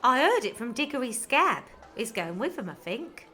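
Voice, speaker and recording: A woman's voice: on the high side, big pitch swings, moderate pace, bright, forceful tone, slightly rough, soft background music, exaggerated voice. A woman playing a fussy, kindly village healer in a comic audio drama. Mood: gossipy.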